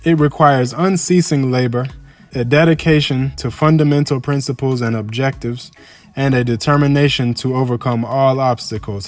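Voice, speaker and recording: A man reads a formal address aloud in a solemn, measured, oratorical manner. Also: medium pitch. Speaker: reader of a historical speech. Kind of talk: formal speech read aloud. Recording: music under the voice. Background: background music.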